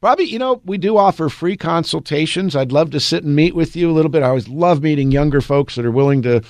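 A man's voice: fairly high pitch, expressive, fast talker, a little mellow, dry recording, clean studio sound. A man in his sixties, an attorney hosting a call-in radio advice show, upbeat and folksy with easy jokes. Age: 60s.

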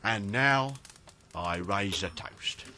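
An actor in a radio drama playing an affectionate, gentle family man with a fond, theatrical manner. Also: on the low side, noisy background. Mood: proud, festive. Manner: fond, theatrical, slow announcements. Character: affectionate, gentle, cheerful poor father and husband.

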